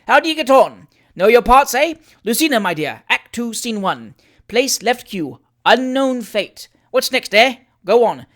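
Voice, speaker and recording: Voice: medium pitch, very animated, a little slow, a bit bright, pitched above the performer's own voice. A reader voicing a jovial, rich old uncle in a storybook, declaiming and correcting with great emphasis. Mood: hearty, emphatic.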